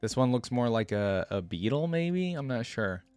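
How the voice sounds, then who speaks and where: A young man hosts a casual reaction video in a chatty, opinionated way. His pitch moves up and down freely in an animated delivery. The sound is dry and close, as from a desk microphone. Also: moderate pace, smooth, dry recording, faint music behind. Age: young adult. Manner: chatty, opinionated, thinks aloud. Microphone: close desktop microphone, headset on.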